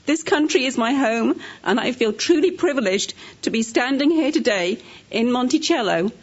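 A woman's voice: fairly high pitch, expressive, moderate pace, lo-fi sound. A woman with an English accent giving a prepared public testimonial as a new citizen. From born in Scotland, raised in England.

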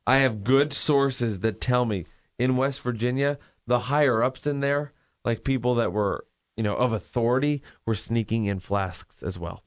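A man co-hosting a talk radio show, ranting excitably, swearing and repeating himself as he makes his point. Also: mid-range voice, moderate pace.

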